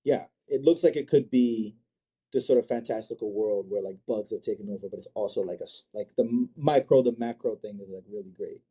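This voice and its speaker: A man from Africa chatting casually as an interview guest, thinking aloud in a halting, word-repeating way. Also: lowish voice, dark tone, breathy edge. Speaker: manga creator, podcast guest.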